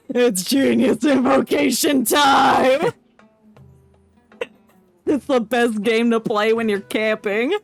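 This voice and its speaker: High-voiced playful woman streamer. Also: slow pace, vocal fry, long pauses, no room sound. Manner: playful, giggly, mock-dramatic. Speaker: livestreamer. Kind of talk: casual game commentary with jokey reactions. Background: game music.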